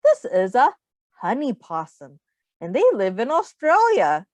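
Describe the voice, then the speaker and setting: A woman teacher reading a picture book aloud to young children, deliberate and expressive, pausing to put questions to her listeners. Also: mid-range voice, dry recording.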